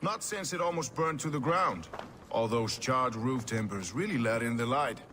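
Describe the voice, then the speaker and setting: Flippant warrior in a game, dry quips, sharp voice, echoey w faint noise. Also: male voice, medium pitch, animated, normal speed. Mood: wry. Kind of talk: video game cutscene acting. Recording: reverberant outdoor-sounding scene, faint noise.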